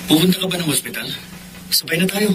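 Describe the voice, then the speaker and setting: Highish-voiced young Filipino guy. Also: lively intonation, fast talker, noisy background. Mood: friendly, casual. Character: friendly, attentive young man on the phone. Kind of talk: Tagalog radio drama acting.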